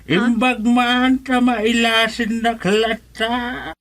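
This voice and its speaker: A woman's voice: fairly high pitch, little pitch movement, very slow, fairly bright tone, heavily acted. A woman playing an old villager in an Ilocano radio comedy drama, with an Ilocano accent. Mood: chatty.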